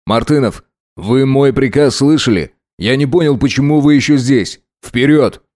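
A man's voice: mid-range voice, normal speed, darkish tone, no room sound, studio quality, lightly acted. A man voicing a callous, irritable lieutenant colonel who hisses and barks his words in a military horror story. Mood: commanding. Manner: barking orders, hissing, irritable. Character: harsh, callous, commanding male army lieutenant colonel.

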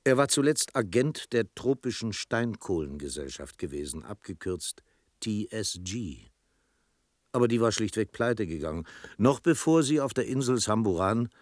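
An actor playing a reflective storyteller narrating a literary radio drama in a measured, dramatic manner. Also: male voice, fairly low pitch, expressive, no echo, studio quality, natural voice. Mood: explanatory. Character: reflective male storyteller recalling his own tale.

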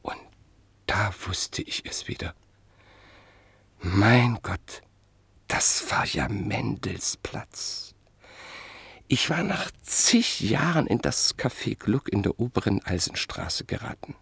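A man tells a story in a radio play, in a very husky, gravelly voice that often sinks to a near whisper. He speaks slowly, and his pitch rises and falls widely. The sound is close and dry. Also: expressive, no echo. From Vienna, Austria. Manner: measured storytelling, often dropping to a hush. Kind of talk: 1950s radio drama acting.

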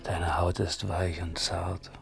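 Tender lover murmuring low and real slow, radio drama, music behind. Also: male voice, level tone, vocal fry, full character voice. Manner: hushed, murmuring, intimate. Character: tender, murmuring male lover, quietly seductive. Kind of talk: 1980s German radio play acting.

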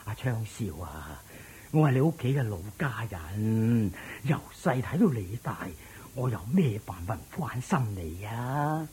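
An actor playing an anxious, deferential old family servant in a radio drama, with a raspy, creaky voice and a stammering, sighing way of talking. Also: male voice, mid-range voice, sing-song, a little slow, few pauses, darkish tone, a little hiss or hum. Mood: coaxing, worried. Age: older.

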